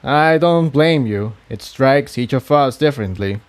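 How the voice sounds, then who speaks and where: A man voicing a calm, kindly old male pony priest in a storybook reading. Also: mid-range voice, a little slow, smooth, natural voice. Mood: gentle, observant.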